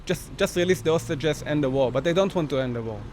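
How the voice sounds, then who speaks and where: Halting Israeli-accented man outdoors. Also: on the high side, a little mellow, a little hiss or hum. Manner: halting, many uh fillers.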